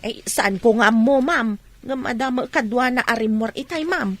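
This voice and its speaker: A woman's voice: a little high, normal speed, a bit bright. A woman playing a dutiful, respectful young village daughter in an Ilocano radio drama, with an Ilocano accent. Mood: deferential.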